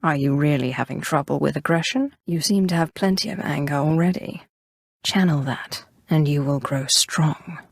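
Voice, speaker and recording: An imperious Sith sorceress for a video game. Her voice sits fairly low and is recorded close and dry. She speaks with deliberate, haughty theatricality and cool, cunning menace. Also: gravelly, husky, dry recording, heavily acted. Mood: haughty, commanding.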